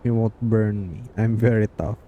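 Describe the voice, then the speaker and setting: A man's voice: lowish voice, fairly even intonation, moderate pace, dull audio, slight background noise. A man streaming a game, giving casual, blunt, sweary reactions as he plays. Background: game music.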